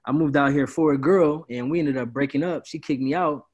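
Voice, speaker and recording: A man's voice: a little high, a little fast, darkish tone, highs cut off. Laid-back young man on a video call. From Peoria, Illinois. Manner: laid-back, rambling, says "bro" often. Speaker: guest, party promoter. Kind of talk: casual interview. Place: indoors, small room at home.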